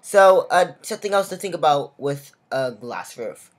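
A woman's voice: low voice, expressive, normal speed. A young woman giving a casual voice-over tutorial for a building game, chatty and full of "like" and "guys". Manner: chatty, frequent "like" and "guys", self-restarts.

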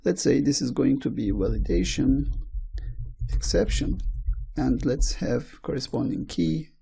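Slow, gravelly man with a Turkish accent. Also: medium pitch, lively intonation, dark tone. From Turkey. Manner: slow, step-by-step walkthrough. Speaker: programming tutor. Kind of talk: screencast coding tutorial.

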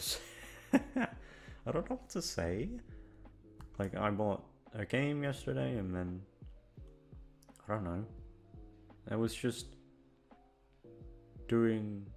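A young man with a fairly low voice rambles casually to his listeners. He is hesitant and puts himself down, swearing freely as he searches for words. Faint music plays behind him. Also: creaky, darkish tone. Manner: self-deprecating, hesitant, sweary. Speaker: audio creator and voice actor.

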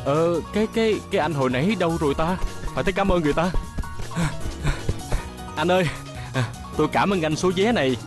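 A man's voice: a little high, fast, over music, natural voice. A man playing a kind, humble farmer father in a children's cartoon, with a Southern Vietnamese accent and hesitant, stammering delivery. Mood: grateful. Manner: hesitant, stammering with many filler sounds.

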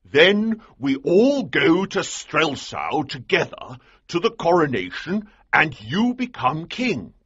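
A man voicing a bold, commanding army colonel in an audiobook, with a husky voice and an urgent, emphatic delivery. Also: on the high side, very animated, slow, few pauses, a bit bright, no echo, highs cut off, voice pushed up, exaggerated voice. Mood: excited. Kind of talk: audiobook character dialogue.

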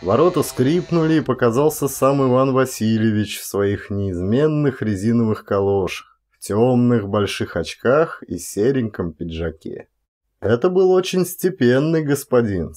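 A man narrating a literary short story as a dramatized audiobook, in a measured, expressive way. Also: lowish voice.

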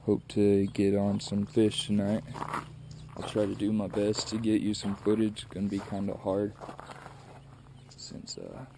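A man's voice: on the low side, level tone, a little slow, vocal fry, noisy background. A young man talking casually to an action camera outdoors while fishing, in a plain, matter-of-fact way. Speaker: fishing vlogger. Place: outdoors by a truck and a river at dusk. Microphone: wide-angle action camera held close.

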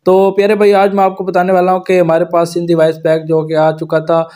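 A man's voice: high voice, completely flat delivery, brisk, mellow. A man with a Pakistani accent giving a casual, step-by-step gaming tutorial voice-over as a YouTuber.

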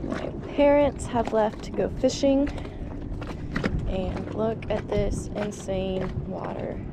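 A woman's voice: medium pitch, slow pace. A young woman in her twenties talking casually to an action camera held at arm's length on a sailboat deck, chatty and upbeat. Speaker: sailing vlogger. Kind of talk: casual vlog narration. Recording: loud wind and water noise.

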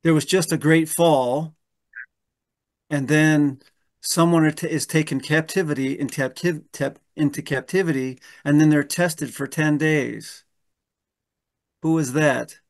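A gravelly, slightly high-voiced man in his fifties teaches a Bible study over a webcam call from his home. He talks things through aloud, restarting his sentences and checking in with the group with a rhetorical "right?". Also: fairly even intonation, a little slow. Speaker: pastor leading a Bible study. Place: room at home. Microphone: webcam on a video call.

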